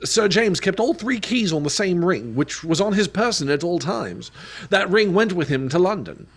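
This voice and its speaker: A man plays a gruff, middle-aged government clerk in a radio drama, frayed and anxious. His voice is high for a man and husky. He speaks hesitantly, in an agitated manner. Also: animated, moderate pace, exaggerated voice. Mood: nervous, hesitant. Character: thin, gruff, nervous middle-aged male senior clerk. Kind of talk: radio play acting.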